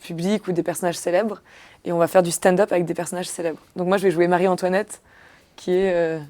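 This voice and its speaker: Young woman comedian, chatty and halting, slightly low voice; interview guest. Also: flat delivery, darkish tone. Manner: chatty, hesitant, many fillers and restarts. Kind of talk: casual interview chat.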